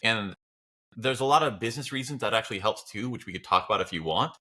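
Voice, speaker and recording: A man chats casually as a podcast co-host. His voice sits on the low side but is bright, with lively swings in pitch. He is supportive and encouraging. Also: fast.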